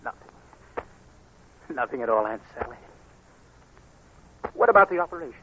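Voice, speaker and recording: A man's voice: medium pitch, animated, normal speed, close to an everyday voice. An actor playing an anxious, haunted blind man in a tense, dramatic 1940s radio suspense play, also narrating in first person. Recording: dull, noisy 1940s radio recording.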